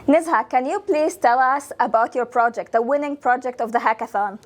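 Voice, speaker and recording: A woman's voice: high voice, a little fast. A woman interviewing prize winners at an event, asking encouraging, enthusiastic questions.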